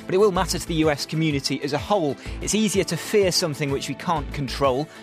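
A man hosting a live radio talk show, speaking briskly and conversationally as he reads listener messages and links segments. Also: a little high, faint music behind. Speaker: radio host. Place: radio studio.

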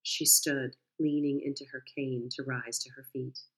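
A woman calmly narrating a first-person story. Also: extremely low pitch, unhurried, rough recording.

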